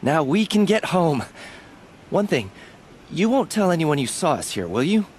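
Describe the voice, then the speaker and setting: An anxious young warrior in a game, sheepish and nervous. His highish voice moves up and down in lively swings. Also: normal speed, slight background noise. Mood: sheepish relief. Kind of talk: video game dialogue acting.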